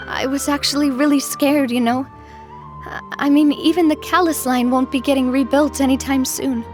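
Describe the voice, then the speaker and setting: A woman voicing a young gang boss who speaks softly and in a measured, heartfelt way. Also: sits high, close to an everyday voice. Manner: soft-spoken, measured, emotional. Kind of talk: game voice acting.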